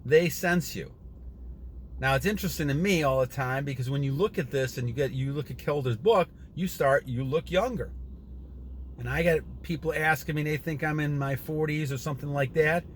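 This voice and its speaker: A man in his sixties giving a casual motivational monologue to his viewers, rambling and earnest, often repeating himself and clearing his throat. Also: on the high side, normal speed, a little hiss or hum. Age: 60s. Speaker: channel host.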